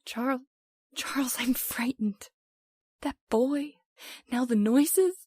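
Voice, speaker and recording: An actress playing an intense, pleading middle-aged wife, long-suffering and frightened, in an old-time radio horror drama. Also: female voice, sits high, slow pace, darkish tone, husky, no room sound, exaggerated voice. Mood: frightened, insistent.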